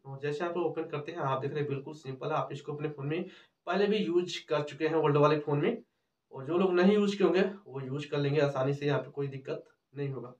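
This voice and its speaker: A man's voice: a little high, fast talker. A man in his thirties explains things step by step to camera as a tutorial host, often repeating himself. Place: small indoor room with cloth backdrop. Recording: roomy, distant, dull microphone sound.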